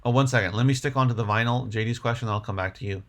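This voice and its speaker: A man's voice: mid-range voice, racing, a bit bright. A man hosting a casual livestream, answering viewer questions in a rambling way, thinking aloud as he goes. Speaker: livestream host.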